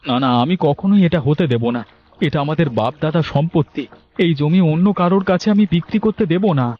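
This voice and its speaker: A man acts out an earnest young farmer brother in a cartoon story. His voice is high for a man, and he questions and protests stubbornly. The audio sounds dull and lo-fi. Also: brisk, natural voice. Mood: defiant. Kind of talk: Bengali cartoon story dubbing.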